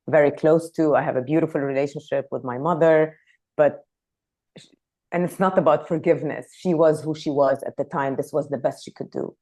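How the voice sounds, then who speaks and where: A woman from Lebanon who grew up speaking Arabic, talking as a podcast guest in a reflective, soft-spoken way. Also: deep voice. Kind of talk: casual conversational interview.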